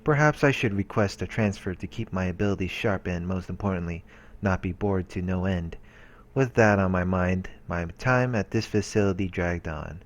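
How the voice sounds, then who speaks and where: A man with a low voice reads visual novel narration aloud as a let's player, dropping in casual asides. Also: normal speed. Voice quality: low-pitched. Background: game music.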